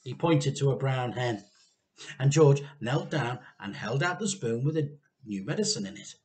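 A middle-aged man narrating a children's storybook aloud in an expressive style. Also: mid-range voice, normal speed. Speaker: teacher reading a storybook aloud. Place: home living room.

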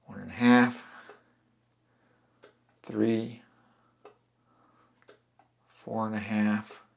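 Low gravelly guy going slow through his darkroom printing steps, lots of ums. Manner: relaxed, thinking aloud with fillers and restarts. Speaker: photography channel host. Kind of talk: hands-on darkroom tutorial. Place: home darkroom in a laundry room.

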